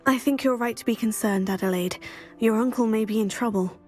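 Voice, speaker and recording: Helpful student heroine played by a woman: lightly high voice, courteous and composed, faint music, video game. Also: brisk, a little mellow, lightly acted. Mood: earnest. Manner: polite, composed, earnest. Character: polite, helpful, composed teenage witch student. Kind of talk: video game cutscene acting.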